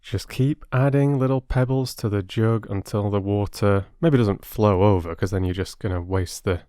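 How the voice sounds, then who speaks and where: A man who reads stories aloud and chats to his listeners in a playful, expressive way. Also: on the low side, moderate pace, dry recording, studio quality. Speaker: audiobook narrator and channel host. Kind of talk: storybook narration and casual chat to listeners.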